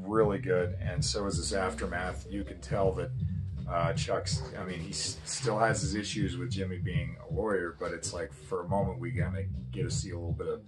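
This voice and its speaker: Raspy, lower-voiced male co-host, relaxed chat with music clearly heard behind. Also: moderate pace, rough recording. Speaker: podcast co-host. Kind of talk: casual chat about a TV show.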